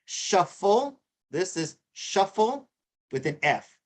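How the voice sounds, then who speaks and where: A middle-aged man co-hosting a live tarot show in casual chat, halting and repeating himself with many fillers. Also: high-pitched, very animated. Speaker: co-host reading tarot cards.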